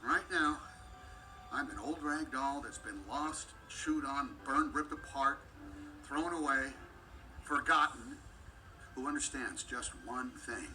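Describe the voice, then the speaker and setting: A loyal cartoon toy with a kind heart. He speaks at a middle pitch, earnest and heartfelt. Music swells clearly beneath the dialogue. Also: takes it slowly, pushed and bright, low-quality recording. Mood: impassioned. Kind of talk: animated film character acting. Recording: music bed under film dialogue.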